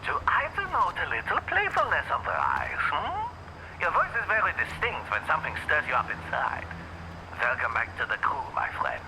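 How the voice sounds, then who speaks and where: An actor playing a playful, cryptic supernatural ally who teases in flowery, archaic phrases over a phone in an audio drama. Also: male voice, on the high side, wildly swooping pitch, moderate pace, gravelly, background noise, full character voice. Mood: cryptic, teasing. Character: playful, theatrical, cryptic male demigod-kin ally on phone. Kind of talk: audio roleplay drama acting.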